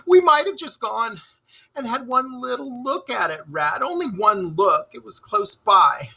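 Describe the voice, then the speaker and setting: A man voicing a small, homesick storybook mole who pleads in a halting, plaintive, crying voice. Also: animated, creaky, sharp tone, breathy edge, soft background music, full character voice, rough recording. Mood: sobbing, heartbroken. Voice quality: high-pitched, broken by sobs. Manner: halting, plaintive, repeating himself. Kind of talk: storybook read-aloud character voice.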